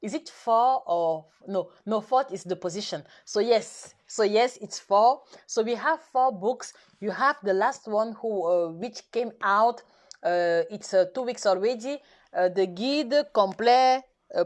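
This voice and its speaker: A woman whose first language is French, hosting a live nutrition session in careful, halting English full of restarts, coaxing her viewers directly. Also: mid-range voice. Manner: halting, self-correcting English with many restarts and fillers. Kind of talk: live stream chat to viewers.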